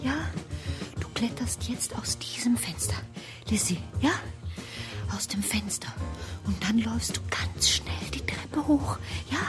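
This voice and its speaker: A woman's voice: fairly high pitch, wildly swooping pitch, measured pace, whispered. An actress playing a frightened, battered mother protecting her child in a radio drama. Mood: urgent whisper. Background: music.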